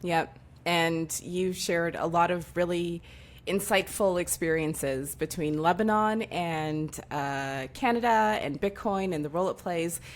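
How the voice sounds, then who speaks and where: A woman's voice: on the low side, measured pace. A woman hosting a casual, playful question-and-answer interview. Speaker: interview host.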